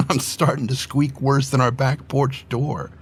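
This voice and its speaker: A man voicing a gentle, sentimental aging father animal in a motion comic, speaking tenderly and with emotion in a soft, husky voice. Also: mid-range voice, flat delivery, slow pace. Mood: earnest, affectionate.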